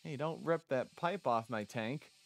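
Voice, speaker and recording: A man's voice: medium pitch, a little slow, gravelly. A man voicing a scheming, jealous young woman villain in a visual novel, flippant and boastful. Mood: gloating menace. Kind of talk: visual novel character read-aloud. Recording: music behind the voice.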